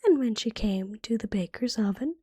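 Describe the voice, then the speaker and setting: A woman reading a fairytale as ASMR in a soft, breathy whisper, gently and carefully, like a bedtime storybook. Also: a little high, animated, moderate pace, no echo.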